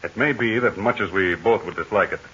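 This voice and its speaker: An actor playing a calm, fatherly heroic aviator in a 1940s radio serial, speaking in measured, assured tones. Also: low-pitched. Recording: dull scratchy 1940 radio transcription.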